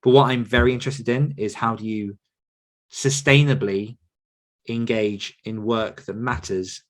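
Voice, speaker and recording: A man's voice: medium pitch, fairly even intonation, measured pace. A man hosting a podcast, answering questions casually and thoughtfully, feeling his way through sentences and correcting himself. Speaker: podcast host and author coach answering questions. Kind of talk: casual, reflective Q&A talk.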